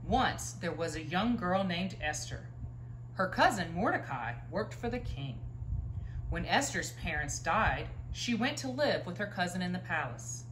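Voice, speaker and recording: A woman in her thirties reads a children's Bible story with animated, swooping intonation. Her voice sits a little low and she stresses key words. The room is echoey, with faint noise behind her. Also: unhurried. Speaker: story reader. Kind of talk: expressive children's Bible storybook read-aloud. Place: living room, indoors, by a stone fireplace. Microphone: camera a few feet away.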